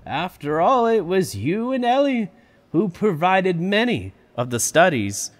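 A man's voice: high voice, sing-song, unhurried. A man voicing an elderly male park founder who is boastful and chuckles as he talks, in an audio drama. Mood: proud. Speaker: voice actor. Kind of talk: fan audio drama acting.

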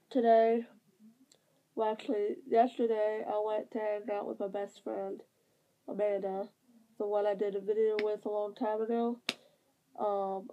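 A young woman with a stuffy nose, rambling casually through a vlog update while lying on her bed. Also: mid-range voice. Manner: rambling with many fillers and restarts. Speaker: vlogger. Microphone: device camera at close range. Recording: tinny phone-like sound.

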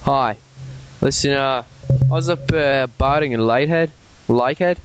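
A man's voice: mid-range voice, normal speed, smooth. A man with an Australian accent, rambling and stammering through a prank phone call, swearing when he gets worked up. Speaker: prank caller. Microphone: telephone.

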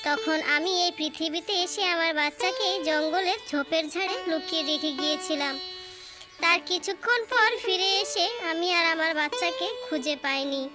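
A woman's voice: very high voice, normal speed. A woman playing a sorrowful fairy mother in a cartoon, lamenting and emotional. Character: sorrowful fairy mother longing for lost daughter. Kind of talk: cartoon voice acting. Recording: loud music under the voice.

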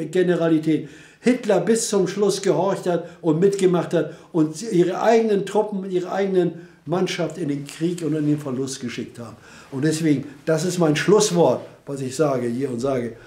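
An older man speaks about his memories with heated indignation, his voice slightly high yet dark and mellow. The living room around him adds a noticeable roomy echo. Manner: impassioned, indignant storytelling. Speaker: interviewee recalling wartime. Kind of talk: personal memory interview.

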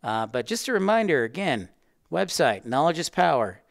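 A man's voice: fairly high pitch, big pitch swings, normal speed, creaky, no room sound, studio quality. A man hosting a casual educational livestream, chatty and rambling as he talks directly to his viewers. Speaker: livestream host, aviation educator.

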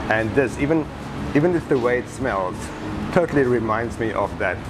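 A man's voice: medium pitch, expressive, moderate pace, noisy background. A middle-aged man from Africa, eagerly reviewing a restaurant buffet to camera while he eats. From South Africa. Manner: enthusiastic, talks to camera while eating. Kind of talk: food review vlog. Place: indoor restaurant by a busy street.

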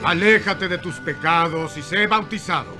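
A man playing a fervent desert prophet in an animated Bible film, declaiming commands like a preacher. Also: a little high, moderate pace, faint music behind. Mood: fervent, commanding.

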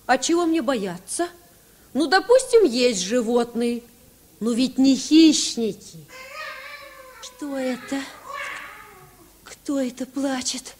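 An earnest, naive schoolboy in an old children's radio play. The voice is high and the delivery takes its time, full of wonder. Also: female voice, expressive, slow, long pauses, a little mellow, slight background noise. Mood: nervous bravado. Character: schoolboy Petya, sixth-grader, naive, earnest, easily startled.

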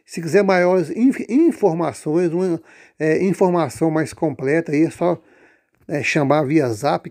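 A man casually pitching a lottery pool, rambling with many fillers and restarts. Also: dark tone.

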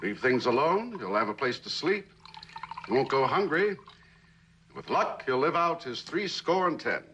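A middle-aged man playing a stern, cynical company boss in a 1960s television drama, lecturing in a measured, condescending way. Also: medium pitch, big pitch swings, pushed and bright, dull audio, slight background noise. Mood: pressing, impatient. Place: indoor living room set.

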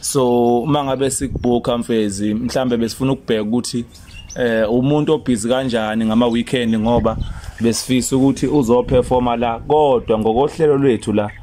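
A man's voice: medium pitch, steady tone, brisk, dark tone, a little hiss or hum. A young man hosting a comedy prank phone call outdoors, talking in casual street style. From South Africa. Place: outdoors beside a parked car under a carport.

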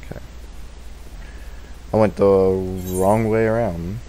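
A man's voice: deep voice, fairly even intonation, slow pace, warm tone, poor audio. A man giving casual, rambling gameplay commentary as a let's play host, with a self-deprecating manner.

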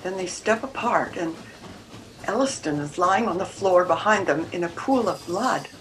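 A woman's voice: fairly low pitch, animated, moderate pace, lightly acted. Older woman playing a proper, troubled Edwardian wife, noisy call. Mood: distressed. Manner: formal, careful period diction. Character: troubled, independent-minded Edwardian married woman, proper and earnest. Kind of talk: radio mystery play acting. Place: room at home, video call. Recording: video call with background noise.

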